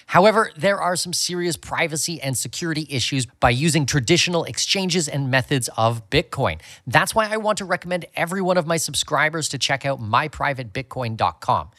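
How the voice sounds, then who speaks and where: A man's voice: a little high, a bit bright, dry recording, studio quality. A man delivering a scripted promotional read as an ad presenter.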